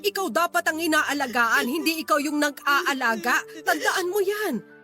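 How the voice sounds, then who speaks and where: A woman's voice: high-pitched, animated, moderate pace. A woman playing a stern but caring Filipino mother in an emotional, emphatic radio drama style. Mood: firm, exasperated. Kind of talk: Tagalog radio drama acting. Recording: music under the voice.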